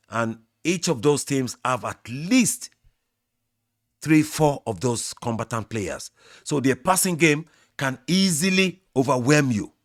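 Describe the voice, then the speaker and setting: A Nigerian man, a native Yoruba speaker, hosting his own football channel and giving measured, opinionated answers straight to his fans. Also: mid-range voice, no echo, clean studio sound. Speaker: sports channel host. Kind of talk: football opinion talk to camera.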